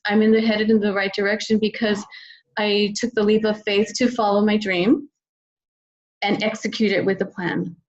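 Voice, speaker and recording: A woman's voice: mid-range voice, highs cut off. A middle-aged woman talking enthusiastically and at length as a guest in a conversational interview, an author discussing work. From United States. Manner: enthusiastic, talkative. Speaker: podcast guest, children's book author.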